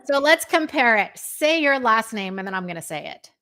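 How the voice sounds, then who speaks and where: A woman hosting an interview, chatty and eager, firing off quick questions to her guest. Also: a little high, animated, sharp tone. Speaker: host. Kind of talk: casual interview.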